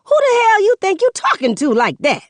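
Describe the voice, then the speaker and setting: A woman puts on a mother in a rage, her voice pitched high and pushed loud. She swears and cuts at her son with each line, recorded close and dry. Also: wildly swooping pitch, fairly quick, no echo, full character voice. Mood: furious. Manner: shouting, swearing, cutting.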